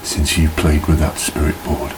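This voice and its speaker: A man playing a sinister supernatural entity in a horror radio play, speaking with menacing, taunting pauses. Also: extremely low pitch, monotone. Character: sinister male supernatural entity phoning in to torment. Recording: noisy, hissy phone-in line.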